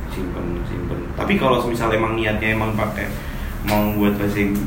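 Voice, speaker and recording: A young man with a low, fairly monotone voice talks in a casual interview, stopping and restarting as he hunts for words. The room is echoey, and steady background noise runs under him. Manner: hesitant, halting, many restarts. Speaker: musician.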